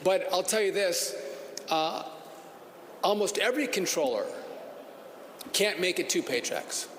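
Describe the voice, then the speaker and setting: A man's voice: sits high, expressive, measured pace, fairly bright tone. A man speaking as an official, making a public statement. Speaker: official speaking at a press event. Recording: echoey hall, long reverb, faint noise.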